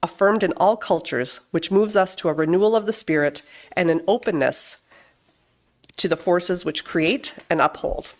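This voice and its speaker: Woman w/ lowish voice reading at a church service, measured n deliberate. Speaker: worship service leader at a Unitarian Universalist fellowship. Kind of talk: scripted church readings and speaker introduction.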